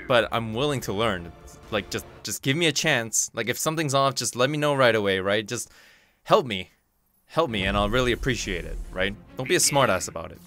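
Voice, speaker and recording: A man whose first language is Korean talks over his match in a middle-pitched voice, excitable and quick to swear. He mutters to himself between bursts of energy. Faint game music runs underneath. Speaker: gaming channel host. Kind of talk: live gameplay commentary.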